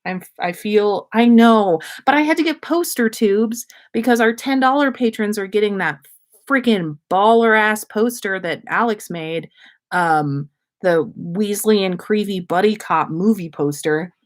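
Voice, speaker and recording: A woman's voice: mid-range voice, unhurried. A woman hosting a livestream in casual chat, rambling and effusive, with slangy talk and free swearing. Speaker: podcast host.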